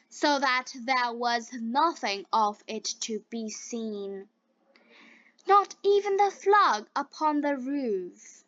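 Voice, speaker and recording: A woman reading a fairy tale as scripted narration for language learners, in halting, deliberate short phrases. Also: high voice, pushed and bright, dry recording, highs cut off. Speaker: storyteller for English learners.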